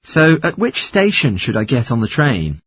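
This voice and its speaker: A man's voice: mid-range voice, wildly swooping pitch, normal speed, slightly rough. A man playing a polite caller asking for travel information in a scripted language-test dialogue. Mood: polite, inquiring.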